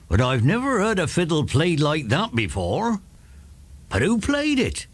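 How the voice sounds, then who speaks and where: An actor playing a gruff but kindly country shepherd who scolds, in a children's audio drama. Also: male voice, sits high, full character voice.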